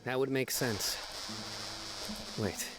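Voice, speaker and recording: An actor playing a stern, resolute young investigator in a video game, speaking in a measured, formal way. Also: male voice, medium pitch, over loud music, close to an everyday voice, low-quality recording. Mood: grave, deliberate.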